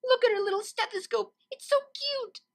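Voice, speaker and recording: Excitable, very high squeaky young pony. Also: female voice, moderate pace. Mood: gleeful. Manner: gushing, breathless exclamations.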